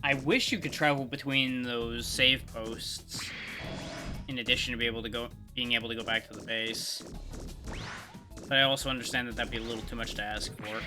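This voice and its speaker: A man's voice: medium pitch, steady tone, fairly quick. A man giving casual live gameplay commentary as a streamer, thinking aloud and explaining things conversationally. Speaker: video game livestreamer. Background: video game music.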